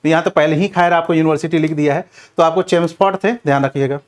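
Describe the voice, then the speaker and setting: Exam coach: male, slightly high-pitched, instructive lecture delivery, posing questions and answering them, with frequent reminders. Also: steady tone, quick pace. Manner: instructive, repeats check phrases like a classroom teacher.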